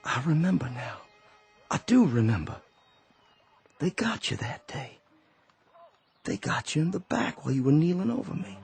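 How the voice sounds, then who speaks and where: Earnest sergeant, halting n pleading, voice moves around a lot, soft music under. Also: male voice, fairly high pitch, very animated, gravelly, slightly rough, exaggerated voice. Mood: bewildered. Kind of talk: radio drama acting. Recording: drama with faint music underneath.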